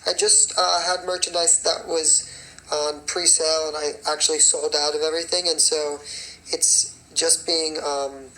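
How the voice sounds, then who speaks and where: A raspy young man, a singer and choreographer, chatting casually on a phone livestream and rambling with many fillers. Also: a little high, level tone, a little slow, pushed and bright, poor audio. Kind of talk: casual livestream chat answering comments.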